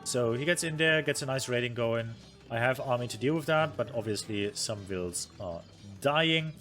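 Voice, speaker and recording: A man at a desk microphone reviewing his own strategy game match, thinking aloud in a rambling, self-critical way. His voice sits at an ordinary middle pitch, and he breaks off now and then to talk to his chat. Faint game music plays quietly underneath. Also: moderate pace. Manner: talks to stream chat. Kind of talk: casual game commentary.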